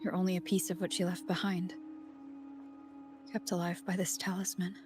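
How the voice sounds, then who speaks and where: An actress playing a grieving but resolute young woman in a video game, speaking in a soft, earnest, measured way. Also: on the low side, level tone, music in the background, lightly acted. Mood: gentle, probing. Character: grieving young woman searching for her lost friend, resolute. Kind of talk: dramatic video game acting.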